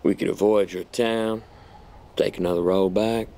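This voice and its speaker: An actor playing a smug, menacing army general in an audio drama, with an insinuating, mock-polite manner. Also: male voice, fairly low pitch, fairly even intonation, moderate pace, dark tone, slight background noise, lightly acted. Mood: smugly threatening.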